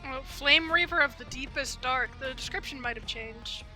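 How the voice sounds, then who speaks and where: A woman live-streaming a game with casual, excitable, gushing commentary. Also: sits high, normal speed. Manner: excitable, gushing fan talk. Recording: game music behind the voice. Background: video game music.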